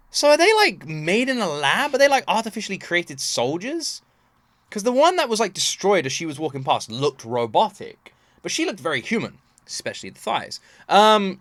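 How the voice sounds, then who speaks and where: A man hosts a reaction video in a casual, unscripted style. His fairly high voice swings widely in pitch as he puzzles things out aloud. The sound is close and dry, with little room around it. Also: no room sound. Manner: puzzled thinking aloud, animated.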